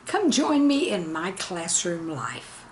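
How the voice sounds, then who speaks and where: A woman in her sixties, a teacher reading humorous classroom anecdotes in an expressive, deliberate storytelling manner. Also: fairly low pitch, a little hiss or hum. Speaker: retired or veteran teacher, storyteller host. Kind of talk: scripted humorous anecdote narration.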